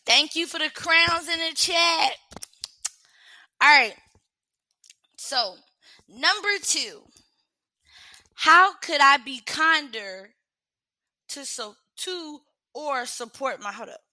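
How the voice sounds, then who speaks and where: Slow, bright-voiced young woman, casual livestream host, dry close sound. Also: high-pitched, lively intonation, dry recording. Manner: chatty, reads questions aloud and answers them frankly. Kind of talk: casual livestream self-reflection.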